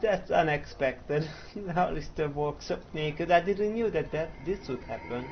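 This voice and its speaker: A man's voice: on the high side, a little slow. A man with a Hungarian accent, thinking aloud as he comments casually on a game he is playing. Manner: thinking aloud, hesitant, chuckling. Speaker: let's play host. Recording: dull lo-fi mic with background noise.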